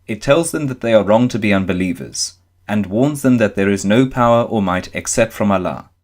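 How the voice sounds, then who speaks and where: Low-pitched man reading news. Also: a little fast. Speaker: reader of news articles. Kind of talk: scripted narration, article read aloud.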